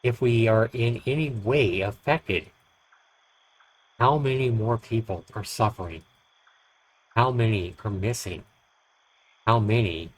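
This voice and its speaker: Man, lowish voice, dramatic story narration, deliberate expressive phrasing, visual novel read-aloud. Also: poor audio.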